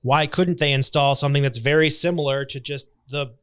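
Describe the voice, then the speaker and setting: A man co-hosting a comedy podcast, talking in a jokey, indignant way with quick asides. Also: fairly high pitch, steady tone, fairly bright tone, smooth. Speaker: podcast co-host. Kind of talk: comedic casual chat.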